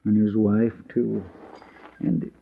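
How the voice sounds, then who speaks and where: An actor playing a talkative, simple-hearted frontier sidekick with a rural Western drawl and rambling, deferential homespun manner, in 1950s radio western style. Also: male voice, lowish voice, monotone, slow, creaky, exaggerated voice. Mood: shocked, sorrowful. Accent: rural Western American drawl. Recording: muffled, noisy vintage radio recording.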